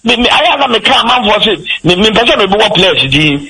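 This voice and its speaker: A man with a Ghanaian accent, a football pundit on a sports talk show, talking in an animated, rambling way and switching between English and Twi. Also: high-pitched, fairly quick, slight background noise. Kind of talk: radio sports discussion.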